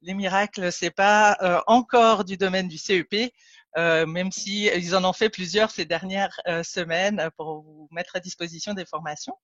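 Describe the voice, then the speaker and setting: A woman's voice: fairly low pitch, fairly even intonation, normal speed, a bit bright, no echo. Woman from Ticino, Italian native tongue, hesitant webinar trainer; dull, dry mic. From Ticino, Switzerland. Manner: hesitant, many fillers and restarts. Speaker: trainer and consultant. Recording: dull lo-fi dry call audio.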